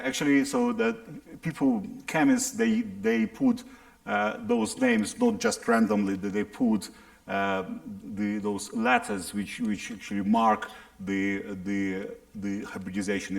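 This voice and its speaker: A middle-aged man with a Russian accent giving a public science lecture, halting and often repeating words as he restarts. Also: mid-range voice, creaky.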